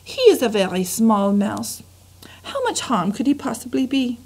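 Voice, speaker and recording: A woman's voice: fairly high pitch, lively intonation, moderate pace, darkish tone, husky, rough recording. A woman voicing a haughty, dismissive mother mouse with a put-on French accent. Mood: scornful. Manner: dismissive, mocking.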